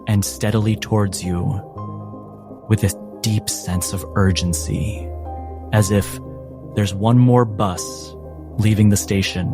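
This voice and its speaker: A man narrating a tabletop game as game master, in deliberate, suspenseful phrases broken by pauses. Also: fairly low pitch. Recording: voice over background music.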